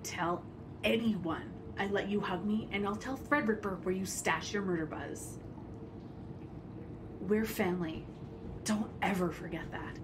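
A woman's voice: mid-range voice, unhurried, long pauses, lightly acted. A woman playing a sarcastic, tough, fiercely loyal punk gunslinger in an audio drama, brash in manner. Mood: flustered, sarcastic. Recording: distant mic, noisy background.

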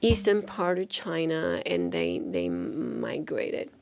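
Gravelly-voiced woman. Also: extremely low pitch, big pitch swings, slow pace, a little mellow. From Taiwan. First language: Taiwanese. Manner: thoughtful, many fillers and restarts. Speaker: university dean, guest. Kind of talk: interview conversation. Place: small bright indoor studio with sofa. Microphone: studio mic on stand.

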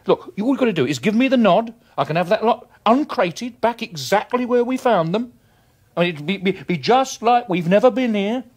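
An actor playing an irritable, wheedling English husband in a radio drama, blustering and stammering in colloquial speech. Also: male voice, sits high, lively intonation, a little fast. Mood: resentful, sarcastic. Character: irritable, wheedling working-class husband, sarcastic and resentful. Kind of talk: 1980s British radio drama acting.

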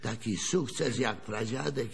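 Gravelly, husky old storytelling grandfather. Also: mid-range voice, takes it slowly, exaggerated voice. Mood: amused, boastful. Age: older. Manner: rambling folksy storytelling, chuckling, rustic colloquial speech. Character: folksy old grandfather, railwayman's son, wistful and wry storyteller. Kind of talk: radio drama acting. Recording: long hall reverb.

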